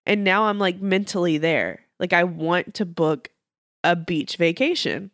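A woman's voice: fairly low pitch, a little slow, a bit bright, dry recording, studio quality. Chatty Texan woman podcast co-host. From Texas. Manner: chatty, giggly, enthusiastic. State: voice a bit deep from morning allergies. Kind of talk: casual chat.

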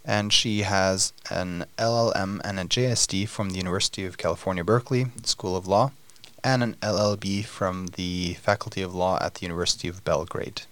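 A man's voice: low-pitched, fairly even intonation, fairly bright tone. A middle-aged man hosting an interview podcast, asking long questions with frequent fillers and restarts. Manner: halting, many fillers and restarts. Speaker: podcast host.

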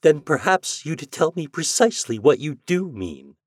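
A man voicing a bitter, sharp-tongued young woman who talks in a clipped, hard, mocking way. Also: on the high side, very animated, normal speed, dry recording, clean studio sound, voice pushed up.

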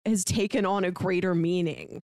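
A woman's voice: fairly low pitch, steady tone, moderate pace, darkish tone, no echo. Woman chatting casually, close dry sound. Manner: conversational, peppered with "like"; voices imagined dialogue as examples. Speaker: podcast co-host. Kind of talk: casual chat, commentary.

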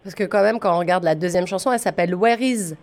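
A woman's voice: fairly low pitch, fast talker. A woman hosting a radio interview, asking long, conversational questions with hesitant fillers. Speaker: radio host.

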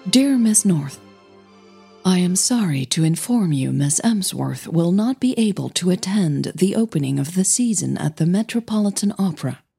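A woman with a soft, breathy voice, a podcast host who narrates her own audio fiction, over music. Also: lowish voice, no room sound. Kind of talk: scripted story narration with casual host outro. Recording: music bed under the voice.

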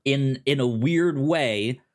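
A man co-hosting a casual TV review discussion, speaking haltingly and stammering over repeated syllables. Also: medium pitch, darkish tone. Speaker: podcast co-host.

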